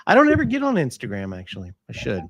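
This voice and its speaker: A man from East Texas with a Southern accent, chatting casually as a guest in a folksy, halting way. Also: mid-range voice, wildly swooping pitch, a bit bright, no room sound. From East Texas, born in Tennessee. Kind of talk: casual chat.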